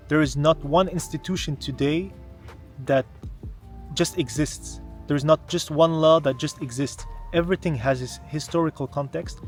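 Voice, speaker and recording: A man from Canada talking to the camera in a casual explanatory vlog, earnest and emphatic, often posing rhetorical questions. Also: on the high side. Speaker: vlogger, history content creator.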